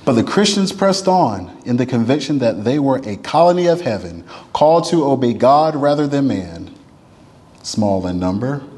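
A man reads a written letter aloud in a solemn, oratorical style, with deliberate, emphatic phrasing and slowed, falling endings to phrases. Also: fairly low pitch, a little mellow. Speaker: reader at a church service. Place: church sanctuary. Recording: long reverb of a large hall.

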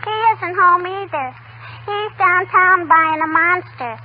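A woman playing a cheeky, pestering little girl with drawn-out childish talk in a 1940s radio comedy. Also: very high voice. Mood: stubborn. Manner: drawn-out childish talk with repeated tag phrases. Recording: tinny, noisy 1940 radio broadcast.

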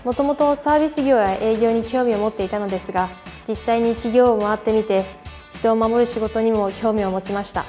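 A young female high school student giving a formal, rehearsed interview answer. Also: a little fast, dark tone, faint music behind.

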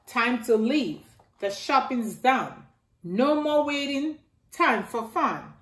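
A middle-aged woman reading a rhyming picture book aloud to children in a slow sing-song way, filmed indoors at home by a camera a few feet away. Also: a little high, animated, a bit bright. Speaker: storytime reader. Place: living room by a staircase, indoors. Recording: echoey room.

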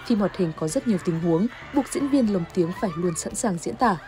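A woman's voice: medium pitch, animated, a little fast, dark tone, soft background music. A woman reading scripted narration for a television news report.

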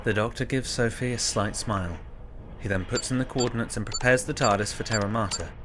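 A man's voice: fairly low pitch, brisk, background noise. A man reading scripted narration for an audio drama.

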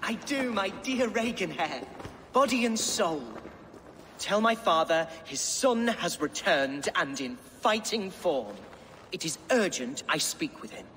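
An actor playing an excitable, boastful young Saxon lord with a flowery, theatrical manner in a video game. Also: male voice, high voice, slow, slight background noise, exaggerated voice. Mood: earnest. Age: young adult. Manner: theatrical, grandiloquent. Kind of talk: video game cutscene acting.

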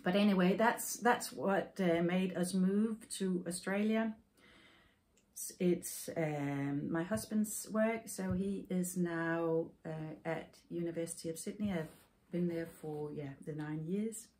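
Slow, low-voiced Danish woman reminiscing. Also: darkish tone. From Denmark. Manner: thoughtful, halting, with many fillers and restarts. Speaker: podcast host. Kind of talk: casual personal storytelling. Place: home room with yarn shelves, indoors.

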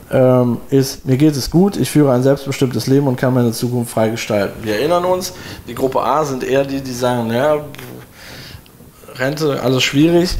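A man's voice: medium pitch, darkish tone, slight background noise. A man in his forties, seated indoors, explaining poll results to viewers in a loose, chatty way with many fillers and restarts. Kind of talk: walking viewers through a results screen. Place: room with window blinds, indoors.

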